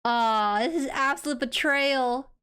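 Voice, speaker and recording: Woman, soft smooth voice, fairly high pitch, casual streamer chat, excitable reactions. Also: unhurried. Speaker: streamer hosting an anime watchalong.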